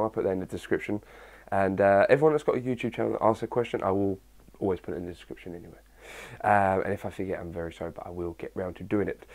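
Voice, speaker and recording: Husky-voiced guy chatting. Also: fairly low pitch, a little mellow. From England. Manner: chatty and rambling, with many fillers. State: husky, early-morning voice. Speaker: fitness vlogger answering viewer questions. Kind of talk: casual chat to camera.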